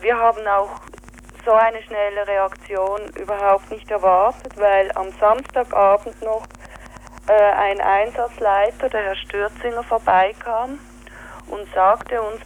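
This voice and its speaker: A woman with a Swiss German accent, telling in an interview what happened, halting with fillers and searching for words. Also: medium pitch, fairly even intonation, low-quality recording. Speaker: activist interviewee. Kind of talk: spoken account in an interview.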